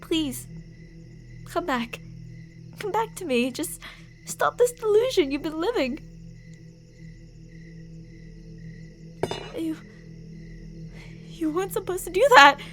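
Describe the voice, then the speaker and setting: A woman's voice: very high-pitched, lively intonation, unhurried, pauses often, fairly bright tone, faint music behind, full character voice. A woman playing an obsessive, delusional young female stalker and former bully in an ASMR roleplay, clingy and emotionally volatile. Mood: quietly pleading. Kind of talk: ASMR roleplay, dramatic monologue to listener.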